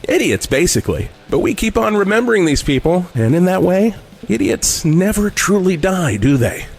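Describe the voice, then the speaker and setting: A man playing a deadpan classic rock radio DJ, delivering showy patter in a parody radio shift. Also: high-pitched, normal speed, creaky, heavily acted. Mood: deadpan, upbeat. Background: music behind the voice.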